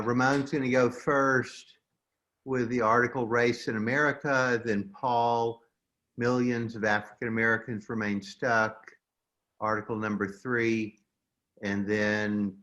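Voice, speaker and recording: A man moderating a casual group discussion on a video call, laying out the order of speakers in a brisk, organizing way. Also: on the low side, level tone, a bit bright, muffled recording.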